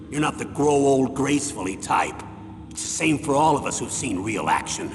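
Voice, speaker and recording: Gravelly, commanding aging colonel. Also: male voice. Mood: earnest, persuasive. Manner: measured, authoritative briefing delivery. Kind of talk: dramatic video game voice acting. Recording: echoing hall, vehicle rumble noise.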